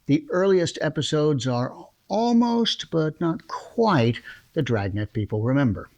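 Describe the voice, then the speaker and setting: A man's voice: fairly high pitch, expressive, unhurried, a little mellow. A man, a producer, casually introducing a radio drama in a chatty, enthusiastic way. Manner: chatty, enthusiastic, laughs between phrases. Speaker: producer and host.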